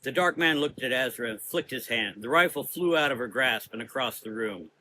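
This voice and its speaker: A man's voice: medium pitch, animated, fairly quick, bright, forceful tone, a little hiss or hum, poor audio. A man giving dramatic scripted narration of a gothic audio story.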